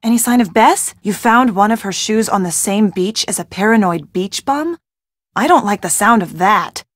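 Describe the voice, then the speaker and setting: A woman voicing a young, worried and sardonic friend of a detective in a video game, with an expressive, conversational delivery. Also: medium pitch, moderate pace, dry recording, studio quality, natural voice. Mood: suspicious, anxious.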